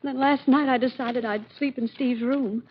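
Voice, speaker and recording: An actress playing a frightened, pleading married woman with a husky, breathy, tremulous delivery, in 1940s radio drama style. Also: sits high, fairly even intonation, moderate pace, slight background noise, lightly acted. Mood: anxious. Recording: muffled 1940s radio recording.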